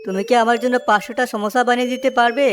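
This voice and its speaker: High-voiced commanding rich father by a woman. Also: steady tone, very fast, slight background noise, lightly acted. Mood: commanding, approving. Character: wealthy, commanding father ordering food. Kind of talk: cartoon story dialogue.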